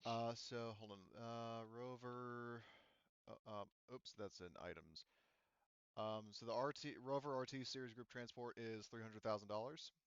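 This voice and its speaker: A man's voice: medium pitch, measured pace, clean tone, no room sound, muffled recording. A man casually running a tabletop game as game master, hesitating and thinking aloud as he gives rulings.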